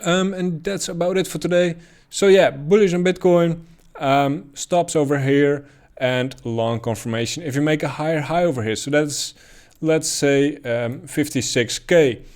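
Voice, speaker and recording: A man's voice: on the high side, measured pace, darkish tone. A man with a Dutch accent giving casual, thinking-aloud commentary on price charts, with frequent ums and uhs. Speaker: crypto chart analyst.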